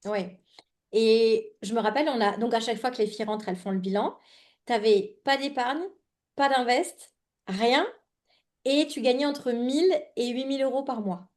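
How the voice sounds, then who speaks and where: A woman's voice: on the high side, moderate pace, darkish tone. A woman hosting a podcast in a casual, informal interview style, slangy and mixing in English words. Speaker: podcast host and money coach.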